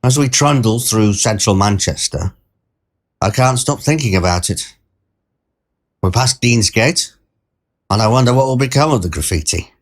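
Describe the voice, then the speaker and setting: A man narrating a first-person short story in a conversational, wry and reflective way. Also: lowish voice. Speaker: storyteller, performer.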